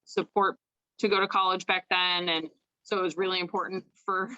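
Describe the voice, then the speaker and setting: A woman with a Chicago accent chats as an interview guest, full of "like" and "um" and quick to laugh. Her voice sits slightly low but sounds bright and lively. The sound is dry and close, with almost no room echo. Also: dull audio. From Chicago suburbs. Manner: chatty, lots of "like" and "um", laughs easily. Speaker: guest, makeup artist. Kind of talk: casual interview chat. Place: home with kids nearby.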